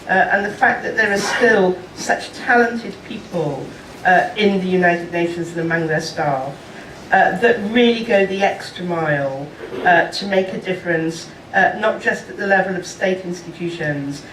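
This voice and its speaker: A woman diplomat speaking at a panel discussion in a measured, hesitant way, with many pauses and fillers. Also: lowish voice. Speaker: diplomat at a national mission. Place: large conference room. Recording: echoey room from far off, faint noise.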